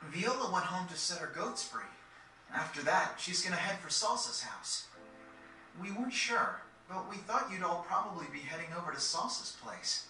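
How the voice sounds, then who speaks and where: A cocky teenage game adventurer, talks plainly and casually in a high, piercingly bright voice. It echoes heavily, as if from a little way off. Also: male voice, animated, normal speed, faint music behind, exaggerated voice. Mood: matter-of-fact. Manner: casual, slangy, incredulous. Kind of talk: video game voice acting. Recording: very echoey, distant game capture with noise.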